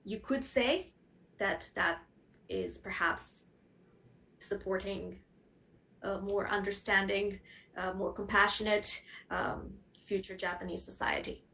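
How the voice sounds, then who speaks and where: A woman's voice: mid-range voice, slow, bright, forceful tone, low-quality recording. A woman speaking as a guest in an academic panel discussion, often searching for her words.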